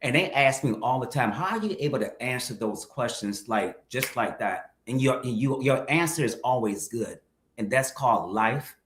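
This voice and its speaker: Guest in his 50s, mid-pitched, reflective and candid, echoey room. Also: fairly bright tone. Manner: reflective, speaks to viewers directly. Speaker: guest, mukbang creator. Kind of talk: candid conversational interview. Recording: roomy echo.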